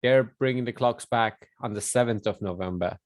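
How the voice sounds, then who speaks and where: Casual, halting man in a dry space. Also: medium pitch, no room sound. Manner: hesitant, self-correcting, joking, often says "like". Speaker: podcast guest. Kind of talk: casual chat.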